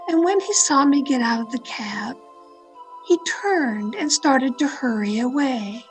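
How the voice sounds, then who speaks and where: A woman playing an obsessive, lovestruck mystery novelist in melodramatic old-time radio style, with pleading, deliberate delivery. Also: high-pitched, animated, faint music behind. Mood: wistful narrating. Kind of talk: 1940s radio mystery acting and narration. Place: at home, online video-call performance.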